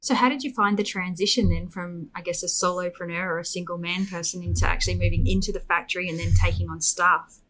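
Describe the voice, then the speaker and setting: A woman's voice: on the low side, steady tone, fast, few pauses, a bit bright, low-quality recording. A woman with an Australian accent, from the Gold Coast, interviewing casually as a podcast host.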